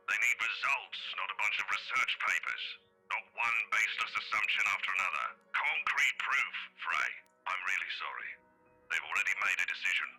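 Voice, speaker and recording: Forceful, low-voiced police chief. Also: male voice, lively intonation, brisk, breathy edge, exaggerated voice, poor audio. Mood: firm, regretful. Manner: firm, blunt, forceful. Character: gruff, stern male police chief, older boss. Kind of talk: visual novel voice acting. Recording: game audio with music.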